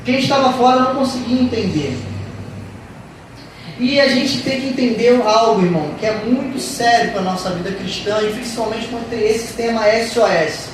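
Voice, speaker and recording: High-voiced woman preaching in an echoey church. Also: moderate pace. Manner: emphatic, repetitive preaching. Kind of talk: sermon. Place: church hall. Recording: echoey church hall from far off, noisy.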